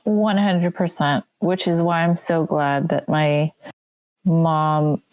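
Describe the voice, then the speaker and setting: Woman, Korean first language, low and slow, reflective podcast guest, dry close mic. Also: steady tone, no echo. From United States. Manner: reflective, unhurried, with soft hesitations. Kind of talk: casual podcast chat.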